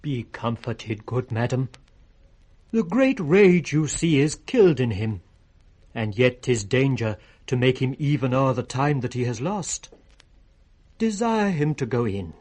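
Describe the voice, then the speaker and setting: An actor with a British accent playing a calm, kindly court physician in a 1960s radio Shakespeare, measured and reassuring. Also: male voice, medium pitch. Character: calm, kindly physician attending a sick king.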